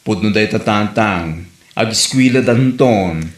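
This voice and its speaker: A man playing a practical parent giving steady advice in an Ilocano comedy audio drama. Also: fairly low pitch, unhurried, lightly acted. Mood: concerned. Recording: roomy, echoey.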